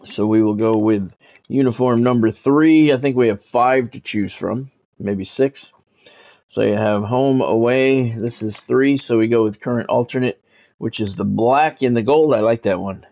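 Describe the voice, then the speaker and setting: A man's voice: mid-range voice, moderate pace. A man giving casual, rambling, conversational commentary as he hosts a football video game stream. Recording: dull lo-fi audio with music behind.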